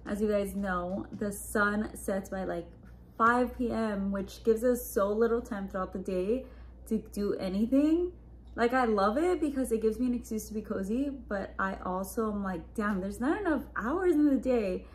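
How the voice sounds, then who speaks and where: A woman's voice: mid-range voice, normal speed. A young woman in her twenties chatting casually to the camera at home, upbeat and full of "like" and "just". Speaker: lifestyle vlogger. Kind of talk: casual vlog chat to camera. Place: home living room and bedroom.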